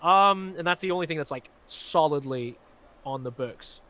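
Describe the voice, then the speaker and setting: A man's voice: on the high side, normal speed, bright, forceful tone. A man with a faint Glaswegian accent blended with American speech, a magician chatting casually on a live stream with lots of fillers. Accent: faint Glaswegian mixed with American. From Scotland. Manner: chatty, rambling, many fillers.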